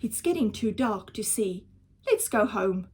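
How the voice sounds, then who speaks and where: A woman's voice: medium pitch, big pitch swings, a little slow, darkish tone, slightly rough. A woman voicing a blustering, irritable hunter in a children's storybook, exclaiming indignantly. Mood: grumbling.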